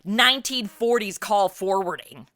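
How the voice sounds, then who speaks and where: Casting: actress as a blunt, sarcastic young sidekick for a radio spoof, bright, highly expressive. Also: female voice, measured pace, no echo, clean studio sound. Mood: incredulous. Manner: snarky, blunt, complaining. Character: sassy, sarcastic modern young woman, reluctant sidekick. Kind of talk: comedy radio play acting.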